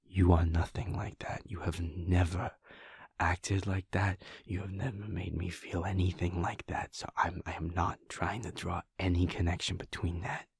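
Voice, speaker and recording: A man voicing a caring male werewolf boyfriend in an audio roleplay, talking softly and earnestly, often half-whispered. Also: deep voice, level tone, moderate pace, creaky, slightly rough, no room sound, clean studio sound, heavily acted. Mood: tender, worried.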